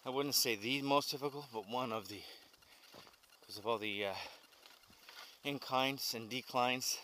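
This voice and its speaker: A middle-aged man narrating a canoe trip vlog outdoors, talking in a relaxed, rambling way with many fillers, recorded on an action camera. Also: medium pitch, a little slow. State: walking while carrying a pack. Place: outdoors on a windy lakeshore and forest trail. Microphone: action camera held or worn. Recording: wind noise on action camera.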